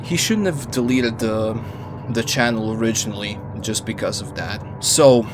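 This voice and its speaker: A man's voice: fairly low pitch, normal speed. A man narrating a casual, opinionated video essay in an informal, wry way with joking asides and some swearing. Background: background music under the voice-over.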